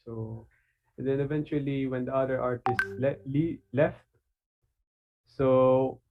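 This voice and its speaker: A man from the Philippines with a Filipino accent, talking casually in an online panel, halting with many fillers and restarts. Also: mid-range voice, fairly even intonation, over music. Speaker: artist and guest speaker. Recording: phone-like video call audio.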